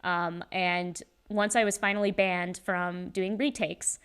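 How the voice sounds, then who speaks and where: Woman, casual and talkative, smooth resonant tone, holding a handheld mic. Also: on the low side, level tone, takes it slowly, fairly bright tone. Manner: chatty and rambling, many ums, laughs often. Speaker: singer and voice actor in a fan musical. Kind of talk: casual livestream Q&A chat. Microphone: handheld microphone.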